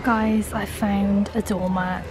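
A woman in her twenties vlogging while shopping, excitable and giggly, filmed on a handheld camera in an indoor store. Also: medium pitch, takes it slowly, very noisy. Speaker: lifestyle vlogger. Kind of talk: casual vlog chat. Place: indoor shop. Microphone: handheld camera at arm's length.

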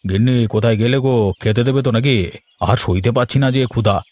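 A man's voice: medium pitch, fairly quick, darkish tone, no echo, natural voice. Cartoon casting: male actor as older villager, grumbling haggler and homely husband, insistent, colloquial. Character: grumbling older village man, husband and bargain-hunting customer. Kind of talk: animated cartoon story dialogue.

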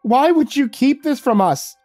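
Man doing a shaken, high-voiced young woman. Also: expressive, a little slow, dark tone, dry recording, soft background music, exaggerated voice. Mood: angry. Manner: high, strained, cracking voice. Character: young woman, upset and accusing.

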